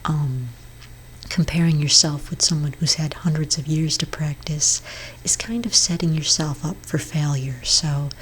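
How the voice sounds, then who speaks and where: Whispery, low-voiced woman in gentle ASMR. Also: a little slow, a little hiss or hum, poor audio. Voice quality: breathy, whispery soft voice. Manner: gentle, hesitant, reassuring counselling tone. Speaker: ASMR performer role-playing a therapist. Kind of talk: soft-spoken ASMR roleplay.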